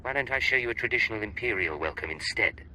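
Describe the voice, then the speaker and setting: An actor playing a suave, wry, self-assured mercenary agent in a video game. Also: male voice, lowish voice, animated, quick pace, sharp tone, slight background noise, rough recording. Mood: cocky, cool. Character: cool, cocky mercenary captain, imperial agent. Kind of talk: scripted video game acting.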